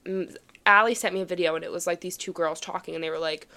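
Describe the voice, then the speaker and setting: A young woman with a somewhat low voice chats casually on a podcast. She keeps an upbeat, easygoing tone and leans heavily on filler words. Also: steady tone, quick pace, sharp tone. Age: young adult. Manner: chatty, upbeat, many fillers. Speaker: podcast co-host. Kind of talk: casual chat.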